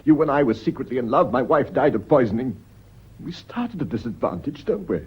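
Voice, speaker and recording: A man's voice: medium pitch, animated, brisk, slightly rough. A man playing an anxious, cornered British schoolmaster in a radio drama, intense and theatrical. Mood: alarmed, despairing. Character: anxious, cornered schoolmaster, bitter secret lover under suspicion. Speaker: actor in a radio play. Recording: dull, band-limited radio drama recording.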